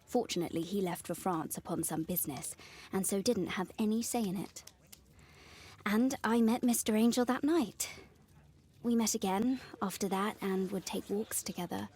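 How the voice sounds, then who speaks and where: A woman's voice: mid-range voice, normal speed, dark tone, breathy edge, natural voice. An actress playing an earnest young Victorian lady in a video game, with a British accent and polite, formal speech. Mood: earnest, confiding. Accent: British English. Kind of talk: video game dialogue acting.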